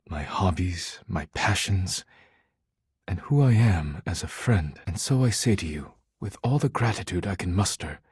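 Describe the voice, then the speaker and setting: An actor playing a formal, proud and earnest man in a video game, speaking in measured, precise phrases. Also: low-pitched, a little mellow, breathy edge, no echo, studio quality, heavily acted. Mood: solemn, grateful. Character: formal, proud, earnest man devoted to his work and art. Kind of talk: video game character voice acting.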